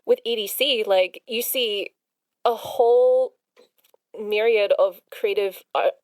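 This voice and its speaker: A woman's voice: a little high, slow, no echo. Woman, American accent with Australian traces, young adult, chatty, says "like" a lot, dry close sound. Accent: American with some Australian. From Long Island, New York, USA. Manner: chatty, heavy use of "like". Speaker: podcast guest, DJ. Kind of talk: casual chat.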